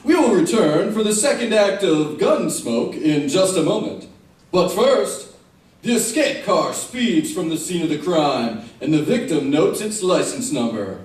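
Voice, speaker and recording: A man's voice: high-pitched, big pitch swings, a little slow, darkish tone. A man with an American accent announcing on 1950s radio in an emphatic, formal style. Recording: echoey, distant old radio recording, faint noise.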